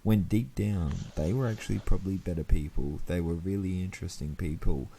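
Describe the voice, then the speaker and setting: A man's voice: sits low, fairly quick, warm tone. A young man co-hosting a podcast, giving earnest, opinionated advice in casual, sweary talk. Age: young adult. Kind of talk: casual chat. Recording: distant mic with background noise.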